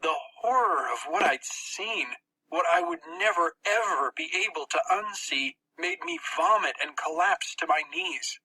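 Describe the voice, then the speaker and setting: An audiobook narrator reads a first-person story with dramatic tension. The voice is very bright and forceful, slightly husky, and expressive in its phrasing. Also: mid-range voice, a little slow, poor audio.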